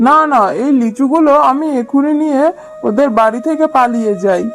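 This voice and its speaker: A high-pitched cartoon tigress, played by a woman, greedy and full of schemes. She talks in scornful exclamations like a haughty village boss. Faint music plays underneath. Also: darkish tone, close to an everyday voice. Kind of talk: children's cartoon dubbing.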